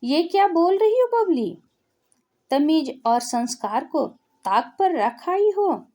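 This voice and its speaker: A woman's voice: high voice, very animated, takes it slowly, mellow, voice pushed up, heavily acted. A woman storyteller voicing a stern widowed father who lectures and reproves his married daughter. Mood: angry reproach. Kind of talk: Hindi family storytelling.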